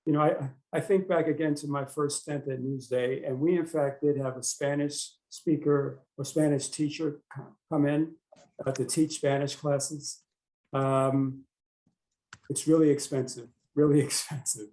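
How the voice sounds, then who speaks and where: A man's voice: on the high side, fairly even intonation, a little slow, dark tone, rough recording. A man, a newspaper editor, speaking hesitantly with many fillers on a panel discussion over a video call.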